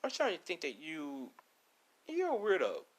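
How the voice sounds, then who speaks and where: A man's voice: a little high, wildly swooping pitch, normal speed, pitched above the performer's own voice. A man voicing an outspoken young woman, a loyal best friend in an amateur story, sassy and casual. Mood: indignant.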